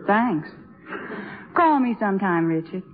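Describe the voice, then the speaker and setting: A woman's voice: mid-range voice, big pitch swings. Thin old-radio aloof, troubled young woman. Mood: cool, cutting. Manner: aloof, measured, cutting. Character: cool, troubled, self-loathing young woman medical student. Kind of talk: 1950s radio drama acting. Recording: tinny, narrow old radio broadcast.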